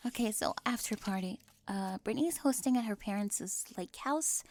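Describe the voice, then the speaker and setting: A young woman acting a bossy, easily flustered, over-organized high school girl with a crush, in an ASMR roleplay. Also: normal speed, a little mellow, a little hiss or hum, close to an everyday voice. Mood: grudging, businesslike. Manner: indignant, emphatic, easily flustered, stammering when caught.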